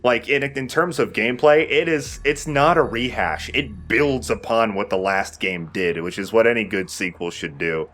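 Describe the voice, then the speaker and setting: A man's voice: lowish voice, normal speed, hardly any pauses, fairly bright tone. A man hosting a let's play, chatting casually about a game and often rephrasing himself.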